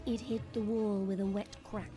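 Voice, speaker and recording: A woman's voice: medium pitch, flat delivery, normal speed. A woman giving dramatic scripted narration as the narrator of an audio drama. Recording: music behind the voice. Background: clear background music.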